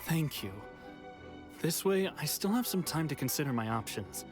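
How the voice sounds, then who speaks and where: A man voicing an uneasy young man in a game, hesitant and soft-spoken. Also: on the high side, animated, moderate pace, close to an everyday voice. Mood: uncertain. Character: uneasy young man smuggled home, unsure. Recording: game audio with faint music.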